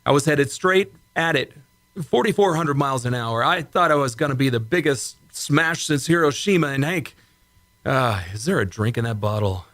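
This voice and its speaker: A man acting in an old-time radio drama as a shaken, insistent rocket test pilot, speaking urgently and haltingly. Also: medium pitch, expressive, fairly bright tone, no echo, natural voice. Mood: breathless, urgent.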